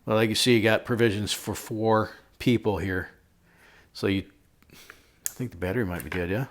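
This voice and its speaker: A middle-aged American man with a lowish voice presents a product review. He talks in a casual, folksy manner, dropping jokey slang and slipping into storytelling as he explains things. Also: steady tone, normal speed. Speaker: host of a product review video.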